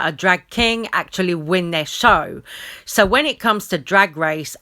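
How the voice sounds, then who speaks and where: Female commentary host, lowish smooth voice, emphatic and conversational. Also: bright, forceful tone. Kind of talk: opinionated commentary to camera.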